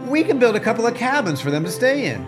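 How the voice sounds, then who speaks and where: Radio drama role: sincere rural rancher male actor, rueful and plain, expressive pitch over faint music. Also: quick pace. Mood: hopeful. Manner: plain-spoken, rueful country phrasing. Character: earnest, downhearted young rancher, plain-spoken country man. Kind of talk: family radio play acting.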